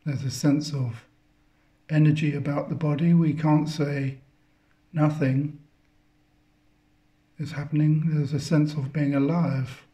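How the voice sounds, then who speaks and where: A man guides a meditation at a very slow pace, with a soft-edged, mellow tone. His delivery stays even and contemplative, in short halting phrases separated by long pauses. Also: a little high. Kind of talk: guided meditation, spiritual talk.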